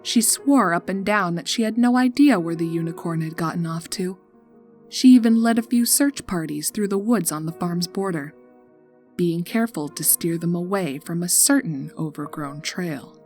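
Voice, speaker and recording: Female horror narrator, slightly low register, deliberate and suspenseful, faint music underneath. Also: a little mellow. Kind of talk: dramatic horror story narration. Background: background music.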